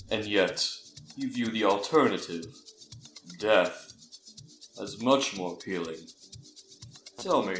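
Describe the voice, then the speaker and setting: A man playing an arrogant, suave and menacing vampire tyrant in an ASMR roleplay, talking in a theatrical, formal, mocking manner. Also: on the low side. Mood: suave, questioning. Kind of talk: scripted ASMR villain roleplay. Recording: echoing voice over faint music.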